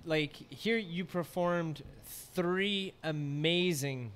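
A man's voice: on the high side, very slow, a bit bright. A man hosting a podcast interview, asking easygoing questions and cracking jokes. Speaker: podcast host. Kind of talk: casual interview chat.

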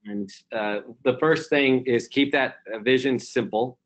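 A man's voice: mid-range voice, a little slow. Reflective man answering on a call. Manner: reflective, repeats himself with fillers and restarts. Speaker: company CEO, keynote guest. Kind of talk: answering questions on a conference call.

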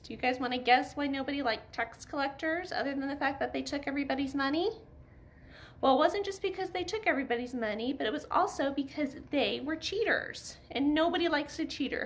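A woman's voice: sits high, slight background noise. A woman, reading and simply explaining a story for children, often asking them questions. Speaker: Bible story reader for children. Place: living room by a fireplace.

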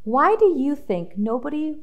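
A woman's voice: sits high, big pitch swings, unhurried, muffled recording. A woman reading a children's storybook aloud with dramatic emphasis, drawing out key words for young listeners.

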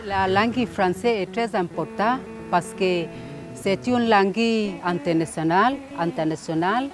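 Tanzanian woman in her fifties, lowish voice, slow measured answers, music underneath. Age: 50s. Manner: measured, halting. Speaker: commercial officer. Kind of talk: interview answers. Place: outdoors in a courtyard. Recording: music playing behind.

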